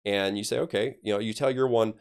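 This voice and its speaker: A man's voice: lowish voice, fairly even intonation, fast talker, clear, resonant tone. A man talking as an air traffic controller guest on a podcast, explaining things conversationally and with emphasis.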